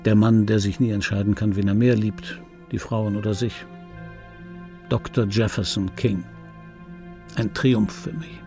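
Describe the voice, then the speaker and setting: An actor playing a wry, self-important male film dubbing artist who recounts his life in a radio play monologue, with dry irony and pointed, repeated phrasing, in a gravelly, husky voice. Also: on the low side, fairly even intonation, a little slow, pauses often, mellow, soft background music. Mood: dry, ironic. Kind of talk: intimate radio drama monologue.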